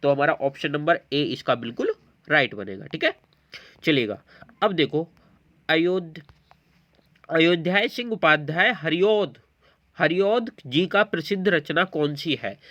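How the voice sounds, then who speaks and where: A man's voice: on the high side, animated, measured pace, pauses often, fairly bright tone, lo-fi sound. A man teaching an exam-prep lesson, explaining quiz questions and often checking in with learners. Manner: explanatory, repeatedly checking in with listeners.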